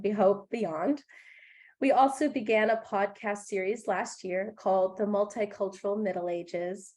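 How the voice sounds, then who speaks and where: A young woman chairs a graduate committee and reads her welcome remarks over a video call. Her voice is a little wheezy because she is getting over a cough. She is speaking from a home office. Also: mid-range voice. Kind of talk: reading prepared welcome remarks.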